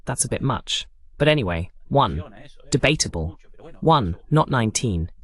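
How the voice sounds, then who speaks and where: A man's voice: medium pitch, expressive, normal speed. A man hosting a live political broadcast, arguing emphatically with rhetorical questions and repetition. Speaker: political show host.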